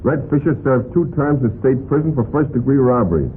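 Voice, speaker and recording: A man's voice: medium pitch, fairly quick, natural voice. An actor playing an earnest, forthright and slightly defensive city fireman in an old-time radio crime drama. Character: earnest male city fireman under police questioning. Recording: tinny, noisy vintage radio recording.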